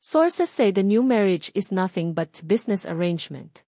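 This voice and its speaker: A woman's voice: mid-range voice, big pitch swings, normal speed, mellow, dry recording, clean studio sound. A woman with a Filipino accent reading a scripted romantic story as a dramatic audiobook narrator.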